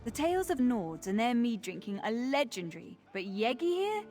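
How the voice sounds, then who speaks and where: An actress playing a brash, amused tavern local in a fantasy video game, chatty, wry and emphatic. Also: female voice, fairly high pitch, big pitch swings, moderate pace, a little mellow, soft background music. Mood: amused, boastful. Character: brash, amused female tavern gossip and drinking witness.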